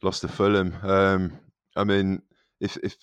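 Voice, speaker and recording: A man co-presents a football podcast in a very low, smooth, resonant voice. His manner is dry and wry. The sound is close and dry. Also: completely flat delivery, measured pace, dry recording. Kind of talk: casual football podcast chat.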